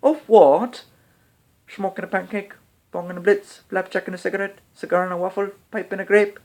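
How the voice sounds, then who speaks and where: A man voicing a gold-obsessed eccentric male villain with a put-on Dutch accent, drawling slowly. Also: flat delivery, a little mellow. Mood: offering. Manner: drawling, high and slow, savoring words.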